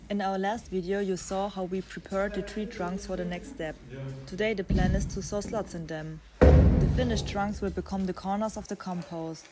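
A woman's voice: mid-range voice, fairly even intonation, moderate pace, over loud music. A woman in her twenties, a native German speaker with a German accent, chatting casually and playfully as a vlogger. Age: 20s. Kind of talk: casual vlog chat and narration.

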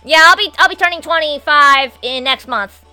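Young woman w a high bright voice, streaming a game, sweary and excitable, bit of game music behind. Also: normal speed. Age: 20s. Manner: foul-mouthed, excitable. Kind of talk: casual gameplay commentary and chat with viewers. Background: video game music and sound.

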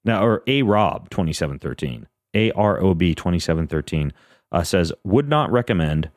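A man hosting a podcast in casual chat, rambling through lists with a fairly even, monotone delivery. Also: low-pitched, normal speed, dry recording. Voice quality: self-described monotone. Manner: rambling, lists things off, frequent fillers and restarts. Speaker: podcast host.

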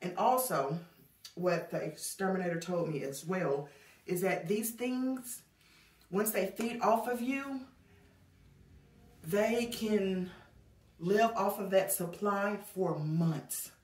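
A woman's voice: medium pitch, slow pace, pauses often, recorded from a distance. Woman, 30s, home vlogger, talks to camera like a friend; camera set back in a bare, echoey room. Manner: chatty, addresses viewers as y'all. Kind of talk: casual chat to camera. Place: small indoor hallway, later a child's bedroom. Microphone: camera on a stand a few feet away.

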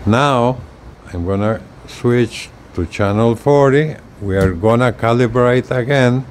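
An older man talks slowly through a casual gadget demonstration. His delivery is halting and unpolished, with a medium-pitched voice. He is recorded outdoors on a porch with faint ambient noise behind him. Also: a little mellow. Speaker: video creator demonstrating radio gear. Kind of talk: casual hands-on product demonstration.

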